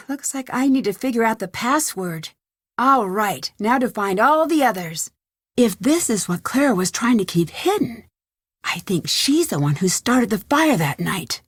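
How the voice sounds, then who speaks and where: A woman playing an inquisitive, level-headed young detective thinking aloud in a video game, earnest and crisp. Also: a little high, dry recording, studio quality. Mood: wry, determined.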